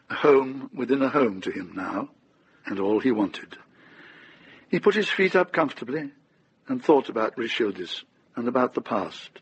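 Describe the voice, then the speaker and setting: A man's voice: mid-range voice, breathy edge, muffled recording. A man narrating a radio drama in a reflective, scripted storytelling style.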